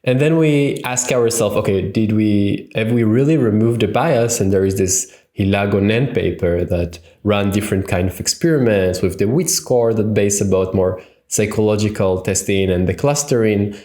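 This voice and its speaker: A man's voice: fairly low pitch, moderate pace, hardly any pauses, dark tone. A man in his thirties with an Israeli accent, teaching a conference tutorial over a webcam, thinking aloud with many fillers and restarts. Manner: thinking aloud with frequent fillers and self-corrections. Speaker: tutorial instructor. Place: home room, indoors. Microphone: webcam on a video call. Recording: roomy echo.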